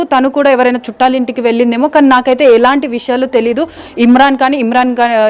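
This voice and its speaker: A woman field reporter giving a live on-location report, explaining in a wordy, repetitive way. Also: high-pitched.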